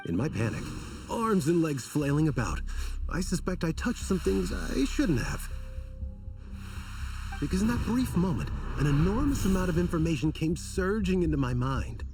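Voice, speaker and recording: An actor playing an earnest, troubled and apologetic desert scholar in a video game, wordy and theatrical in manner. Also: male voice, fairly high pitch, very animated, normal speed, far from the mic, music in the background. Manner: wordy, self-conscious, theatrical.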